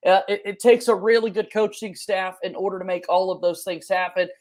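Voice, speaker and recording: A man's voice: high voice, fairly even intonation, brisk. A man hosting a sports podcast, asking questions and setting up topics in a conversational interview style.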